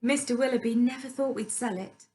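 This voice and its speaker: A woman's voice: on the high side, steady tone, brisk, a little mellow, voice pushed up, natural voice. A woman voicing a cheeky, insistent nineteen-year-old gossip in a dramatic storybook reading. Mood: teasing.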